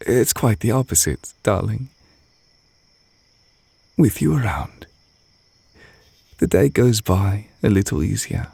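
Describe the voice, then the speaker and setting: Guy playing a gentle witch boyfriend for asmr, deep breathy voice with some fry, really soft and intimate. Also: lively intonation, takes it slowly, pauses often, no echo, heavily acted. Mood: fond, gentle. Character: tender, reserved male witch teacher confessing love to partner. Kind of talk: ASMR romantic audio roleplay. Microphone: close microphone.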